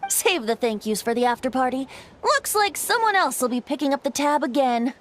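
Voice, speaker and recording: A woman's voice: high voice, sing-song, fairly quick. A woman voicing a youthful male bard in a game, light and theatrical, playful yet wise, with noise behind. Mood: teasing, breezy. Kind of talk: English game voice acting. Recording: loud background noise and ambience.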